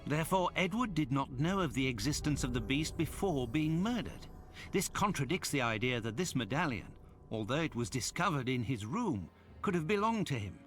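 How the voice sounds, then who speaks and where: A fairly high, very animated male voice, a detective's fussy gentleman companion in a video game. He is formal, expressive and full of questions, with faint music behind. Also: normal speed. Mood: inquisitive. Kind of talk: video game dialogue acting.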